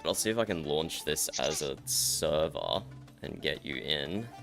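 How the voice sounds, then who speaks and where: A man hosting a livestream, croaky from just waking up, rambling and correcting himself as he chats and teases his guest. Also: very deep voice, lively intonation, unhurried, fairly bright tone. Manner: rambling, self-correcting, teasing. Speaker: streamer host. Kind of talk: casual livestream chat. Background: faint background music.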